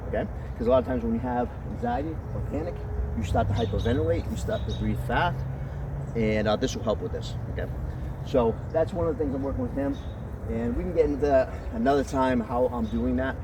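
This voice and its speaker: A man with a deep voice explaining how to train his dog, in a casual, conversational way that keeps checking in with "okay?". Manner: conversational, often checks in with "okay?", jumps to a high praise voice. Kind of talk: casual outdoor training talk. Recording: distant mic with outdoor street noise.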